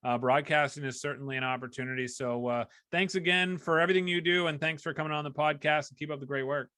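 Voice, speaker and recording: A man's voice: a little high, fast, sharp tone, clean tone, dry recording, studio quality. An American man hosting a podcast conversationally, speaking in an appreciative, encouraging way to a guest. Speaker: podcast host, sports broadcaster.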